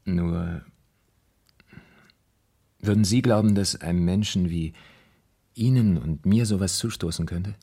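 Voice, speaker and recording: A troubled, fearful man in a radio crime play. His voice is low and husky. He speaks haltingly, repeating words as he confides his dread. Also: dark tone, exaggerated voice. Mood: polite, uneasy. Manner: hesitant, halting, repeating himself. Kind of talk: German radio crime drama acting.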